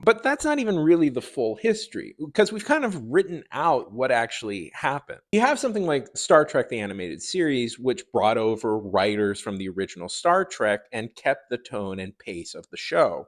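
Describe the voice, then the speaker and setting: A man giving a conversational, opinionated review in a wry, animated manner. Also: medium pitch. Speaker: video reviewer. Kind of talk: conversational review commentary to viewers.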